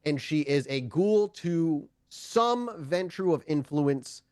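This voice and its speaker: Halting male game master, close dry sound. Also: fairly high pitch, expressive, darkish tone, smooth, dry recording. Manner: halting, conversational, self-correcting. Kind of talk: improvised narration of a tabletop roleplaying game.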